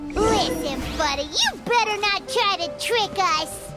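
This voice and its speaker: A woman's voice: extremely high pitch, animated, takes it slowly, over music, full character voice. A woman voicing a tiny, perky, bold fairy-like girl companion in a video game, childlike and cheeky. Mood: suspicious.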